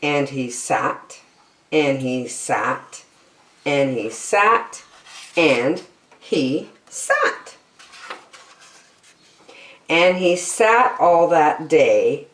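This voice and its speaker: A woman's voice: sits low, reverberant. A woman storyteller reading a children's picture book expressively.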